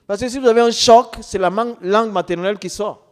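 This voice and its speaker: High-voiced man preaching in a reverberant hall. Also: fairly quick, clear, resonant tone. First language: non-French mother tongue. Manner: emphatic, repetitive, rhetorical questions. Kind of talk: testimony preaching to an audience.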